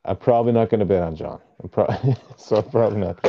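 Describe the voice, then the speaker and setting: Deep-voiced easygoing Canadian-raised man. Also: quick pace, creaky, background noise. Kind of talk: casual chat. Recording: dull lo-fi audio.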